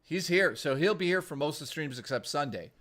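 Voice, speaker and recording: A man streaming, hoarse and losing his voice, rambling through a casual sign-off and thanking viewers by name. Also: fairly high pitch, lively intonation, a little fast, a bit bright.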